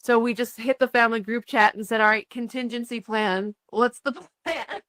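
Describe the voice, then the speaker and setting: A woman's voice: a little high, fairly even intonation, moderate pace, fairly bright tone, no echo, studio quality. A woman speaking casually as an interviewee. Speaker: interviewed traveler.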